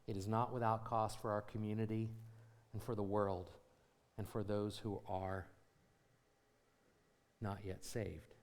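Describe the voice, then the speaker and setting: A man preaching a sermon as pastor of a church, moving from quiet reflection to rising, emphatic exhortation with repeated phrases and rhetorical questions to the congregation. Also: lowish voice, little pitch movement, a little slow, pauses often. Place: church sanctuary.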